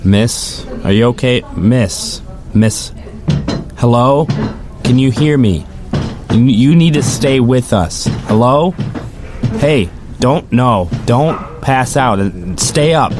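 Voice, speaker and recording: A man's voice: mid-range voice, takes it slowly, over music, lightly acted. A man playing a chatty, sardonic rideshare driver, telling his story in the first person for an audio drama. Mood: panicked, urgent. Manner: casual, sardonic, conversational. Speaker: narrator and lead character.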